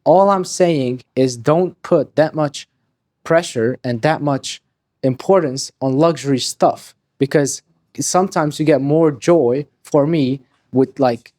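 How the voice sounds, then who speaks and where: Young man, dry close sound, mellow tone, rambling, profane. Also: fairly high pitch, no echo. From Hungary. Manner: rapid, rambling, swears freely, restarts sentences. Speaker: podcast guest. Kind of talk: casual interview talk.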